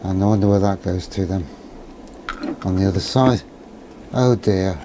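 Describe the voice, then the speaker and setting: A man's voice: deep voice, moderate pace, noisy background. A man thinking aloud in an understated, musing way as he gives a casual hands-on electronics demonstration, often putting questions to himself. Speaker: electronics hobbyist presenter.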